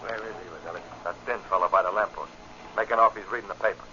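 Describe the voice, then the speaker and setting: An actor playing a gruff, tight-lipped gangster henchman in a 1940s radio drama, terse and impatient. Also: low-pitched, very fast, sharp tone. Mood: gruff, wary. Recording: noisy, muffled old radio recording.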